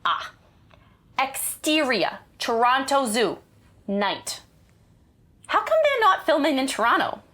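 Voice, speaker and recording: An actress as an excitable, doting mother in an audio drama. Her voice is high and swings freely as she bosses and coaxes her grown daughter along. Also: slow pace, long pauses, exaggerated voice. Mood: curious, prodding. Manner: bossy and coaxing, with animated exclamations. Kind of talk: naturalistic audio drama acting.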